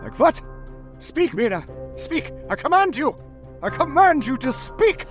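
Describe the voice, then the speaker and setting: A man's voice: extremely high pitch, very animated, unhurried, soft background music, heavily acted. A man playing a commanding, urgent vampire hunter in a theatrical, insistent old-time radio drama style. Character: commanding, urgent male vampire hunter questioning a hypnotized woman.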